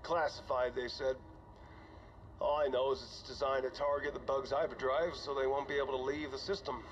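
A dull, slightly noisy recording of a man acting as a weary starship commander keeping a game audio log. He is older and conscience-stricken, talking in a halting, confiding way at a middle pitch. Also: little pitch movement, natural voice. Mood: jittery. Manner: conversational, halting, sighing.

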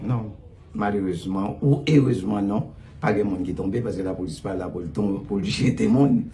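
Deliberate, emphatic Haitian man. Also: fairly low pitch, dark tone, slight background noise. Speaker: police official being interviewed. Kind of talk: interview answers mixing Haitian Creole and French. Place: office, on a video call.

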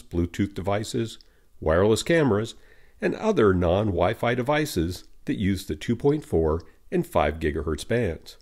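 A man with a low voice and a gravelly edge narrates a scripted training tutorial. His delivery is measured and instructional, with lively rises and falls in pitch.

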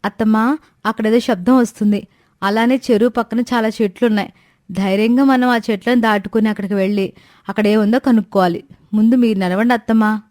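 A woman's voice: fairly high pitch, steady tone, fairly quick, darkish tone, dry recording, clean studio sound, lightly acted. A woman voicing an eager, naive young daughter-in-law in a cartoon moral story, confident and respectful as she explains. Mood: cautiously urging. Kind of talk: animated Telugu moral story dialogue.

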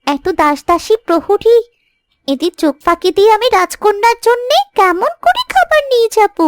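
A performer voicing a small, loyal talking animal who coaxes a princess earnestly in an animated fairy tale. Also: very high-pitched, normal speed, no room sound. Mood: eager, scheming.

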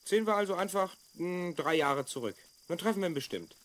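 A confident young boy, with a high voice and very lively intonation. He eagerly explains things like a little adventurer in a children's radio play. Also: fairly quick. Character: confident, adventurous twelve-year-old boy time traveller from the future. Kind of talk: children's audio drama acting.

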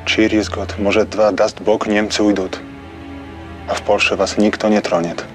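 A young actor playing an earnest Polish geologist who speaks careful, halting Russian with a Polish accent. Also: male voice, lowish voice, flat delivery, soft background music, lightly acted. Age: young adult. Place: sunny outdoor courtyard.

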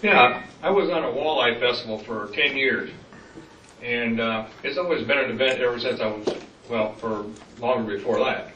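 A man's voice: mid-range voice, normal speed, muffled recording, background noise. A man from the audience asking a question at a town meeting. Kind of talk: public comment at a town meeting. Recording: roomy hall, distant mic.

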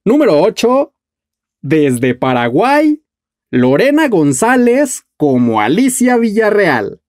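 A man in his thirties hosting a music video, reading a scripted countdown narration with lively delivery. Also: sits high, takes it slowly, no room sound, studio quality. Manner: lively, announcing countdown entries. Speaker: music journalist and channel host. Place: small room at home, indoors.

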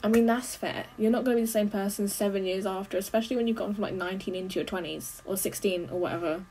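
A young adult woman giving casual, expressive running commentary as she reacts to a film, full of exclamations. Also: medium pitch, quick pace, a little hiss or hum, poor audio. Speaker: movie reaction host. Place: small bedroom.

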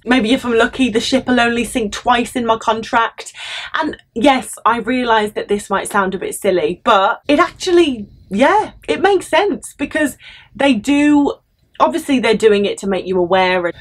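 A young woman with a British accent giving candid, casual advice straight to camera in an animated, chatty way. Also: mid-range voice. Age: young adult. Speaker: vlogger, former cruise ship crew member.

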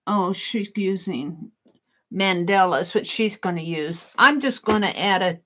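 Slow-talking woman musing while she draws. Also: mid-range voice. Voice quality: loud voice. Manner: musing, chatty, talks to herself as she works. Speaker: art journaling creator. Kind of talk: casual self-talk while drawing. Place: home craft table, indoors.

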